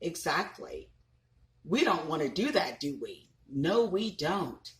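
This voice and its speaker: A woman in her thirties reads a children's storybook aloud in a lower voice that rises and falls a great deal. She takes her time, breaking off to ask the listeners questions. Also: slow, slight background noise. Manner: expressive, asks listeners questions. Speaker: parent reading to children. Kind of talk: reading a picture book aloud with asides.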